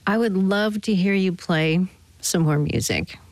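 A woman's voice: mid-range voice, unhurried, no echo. A woman radio host presenting and interviewing a musician in a scripted, on-air style.

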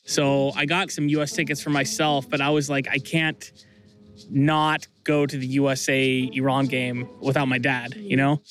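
Man, thirties, American accent, flat intonation, casual halting interview talk, faint music behind. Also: medium pitch. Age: 30s. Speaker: interview guest, soccer fan.

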